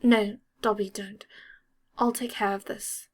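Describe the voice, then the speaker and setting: A woman reading the part of an earnest, protective young wizard boy in an audiobook, with a measured, firm manner. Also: mid-range voice, flat delivery. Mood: resolute.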